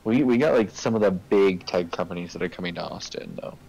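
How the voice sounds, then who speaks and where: A man's voice: sits low, fairly quick, vocal fry, a little mellow, poor audio. A man chatting casually on a game stream, explaining things in a rambling way and checking in with the listener. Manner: rambling, explains with hypotheticals and tag questions. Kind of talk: casual chat during a game livestream.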